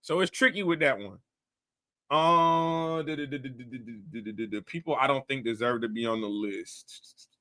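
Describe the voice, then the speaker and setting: A man's voice: medium pitch, moderate pace, sharp tone. A man hosting a casual commentary show, talking bluntly and animatedly in a rambling way. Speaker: host.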